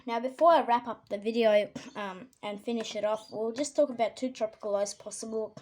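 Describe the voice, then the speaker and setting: Croaky Australian woman with a cold. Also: fairly high pitch, fairly quick, a little mellow, slight background noise. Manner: hesitant, many ums, frequent throat clearing. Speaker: amateur weather forecaster. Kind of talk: casual weather forecast commentary.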